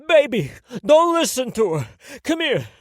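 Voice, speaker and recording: A man's voice: very high-pitched, huge pitch swings, measured pace, no room sound, studio quality, pitched above the performer's own voice, heavily acted. A man voicing a trapped, furious man who screams curses in panic. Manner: screaming, profane.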